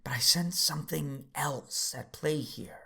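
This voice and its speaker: An actor playing a steady, weary Jedi father who narrates his own story in an earnest, measured audio drama style. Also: fairly high pitch, gravelly, heavily acted. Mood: somber, reassuring. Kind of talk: first-person dramatic narration and acting.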